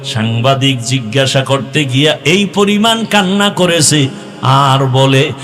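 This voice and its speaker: Male Islamic preacher, Bangladeshi accent, sharp and forceful, impassioned chanting delivery, long echoing reverb. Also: medium pitch, animated. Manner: impassioned, chanting, drawn-out exhortations. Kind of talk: waz sermon to young people. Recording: long hall reverb with music behind.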